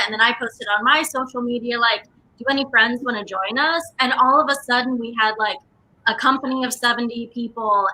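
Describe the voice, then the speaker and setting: A woman gives a casual, enthusiastic interview as a theatre company guest. Her voice is fairly high and bright, peppered with "like". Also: poor audio. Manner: enthusiastic, frequent "like".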